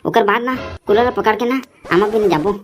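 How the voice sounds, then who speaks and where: Excitable Chhattisgarhi cartoon villager, voiced by a woman, music behind. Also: medium pitch, brisk, close to an everyday voice. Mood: eager. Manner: exclamatory, repeats words. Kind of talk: comedy cartoon dialogue.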